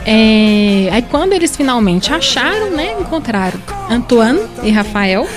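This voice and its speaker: A woman's voice: on the high side, very animated, unhurried, music in the background. A woman with a Brazilian Portuguese accent co-presenting a radio show in a playful, chatty way with jokey asides. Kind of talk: casual radio chat.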